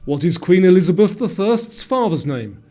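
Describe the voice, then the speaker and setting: A man's voice: high-pitched, very animated, moderate pace, dark tone. A man reading scripted quiz narration, posing multiple-choice questions and then giving the answers. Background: guitar music.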